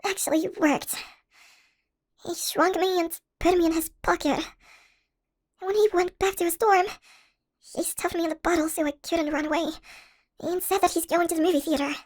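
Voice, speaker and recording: Casting: woman to play mouse-sized young college woman, very high voice, playful, fond, for audio roleplay. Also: fairly even intonation, normal speed, long pauses. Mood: flustered, dismayed. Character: tiny shrunken young college woman, playful affectionate crush. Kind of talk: scripted ASMR audio roleplay.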